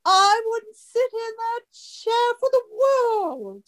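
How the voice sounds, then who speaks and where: A disdainful society lady for a radio farce. She is very high, swoops wildly and drawls very slowly, gushing with affected snobbery. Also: very animated, fairly bright tone, heavily acted. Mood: snobbish disdain. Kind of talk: old-time radio comedy acting.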